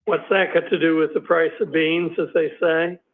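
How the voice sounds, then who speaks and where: Halting prosecutor on a tinny call line. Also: male voice, on the high side, level tone, gravelly. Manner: halting, many ums and uhs. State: losing his voice. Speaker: special prosecutor. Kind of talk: courtroom argument. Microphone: video call. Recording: tinny phone-like audio from a video call.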